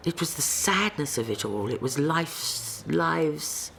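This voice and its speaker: Older lady on the radio, gravelly voice, wistful, hunting for words, bit of hiss behind. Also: sits low, animated, slow pace, fairly bright tone. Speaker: radio guest.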